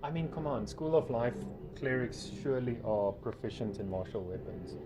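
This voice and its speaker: A man's voice: mid-range voice, lively intonation, normal speed, poor audio. A man running a tabletop game as game master, talking casually and somewhat hesitantly as he narrates and makes rulings. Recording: background music under game talk. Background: music.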